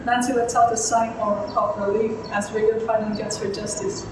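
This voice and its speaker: A woman's voice: a little high, flat delivery, brisk. A young adult woman reading scripted narration for a horror radio drama, stumbling and repeating words now and then. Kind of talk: scripted narration, read aloud. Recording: very echoey room, distant mic, noisy background.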